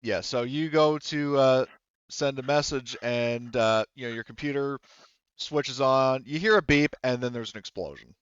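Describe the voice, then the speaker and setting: A man narrates as game master in a smooth, average-pitched voice. He talks loosely and haltingly, with casual swearing, like someone improvising among players. The sound is dry but slightly dull. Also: fairly bright tone, no echo.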